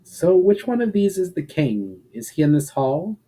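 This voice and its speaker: A man's voice: on the high side, sing-song, normal speed, mellow, breathy edge, pitched above the performer's own voice, low-quality recording. A man voicing a noble male elf warrior in a fantasy storybook, earnest, courteous and formal. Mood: curious.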